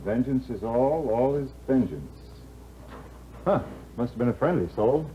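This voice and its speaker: An actor playing a skeptical young husband who teases and jokes dryly, in a 1950s radio drama. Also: male voice, mid-range voice, expressive, measured pace, natural voice. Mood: wry. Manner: wry, teasing, lightly sarcastic. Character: young husband, skeptical and joking new lord of the manor. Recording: dull, faintly hissy 1950s radio broadcast.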